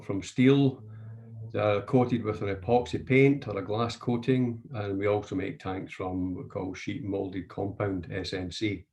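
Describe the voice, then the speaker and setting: A man's voice: lowish voice, a little mellow. A middle-aged man, a managing director speaking on a panel, emphatic with some hesitant repeats. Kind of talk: panel talk to an audience.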